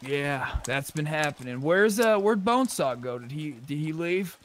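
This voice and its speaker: A man's voice: a little high, expressive, moderate pace. A man chatting casually in character during an online survival roleplay game, talking over a gaming voice chat microphone. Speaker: player in a zombie survival roleplay. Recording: clearly noisy game voice chat.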